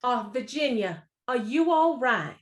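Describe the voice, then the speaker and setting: A woman's voice: fairly high pitch, lively intonation, a little slow. An actress playing an anxious wife and mother in a radio play, fussing and worried. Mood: soothing, concerned.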